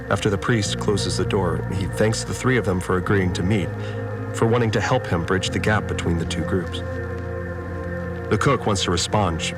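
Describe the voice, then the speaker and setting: Male actor as uneasy farmer, low voice, measured subdued storytelling, audio drama, music underneath. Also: natural voice. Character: uneasy male farmer recounting events in a confined community. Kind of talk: scripted first-person audio drama narration.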